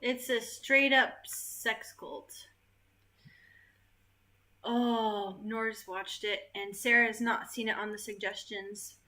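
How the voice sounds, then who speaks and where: A woman from the US chats casually on a livestream, her voice high and bright with a slow, drawn-out pace. She laughs often and reads comments aloud into a webcam in her living room. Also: fairly even intonation. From United States. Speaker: vlogger reading live chat.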